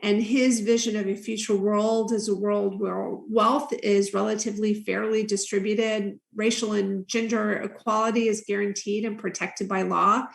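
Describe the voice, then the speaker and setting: A woman speaking as a panel guest, giving prepared remarks in a measured, speechlike way. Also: medium pitch, vocal fry, fairly bright tone. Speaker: nonprofit CEO, panel guest. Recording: roomy echo.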